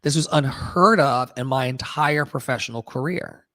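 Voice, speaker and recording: A man's voice: mid-range voice, normal speed, a bit bright, dry recording, studio quality. A man who sings and hosts a live audio show, chatting casually in an effusive, rambling, slangy and profane way. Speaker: singer hosting a live audio room show. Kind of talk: casual, rambling livestream chat.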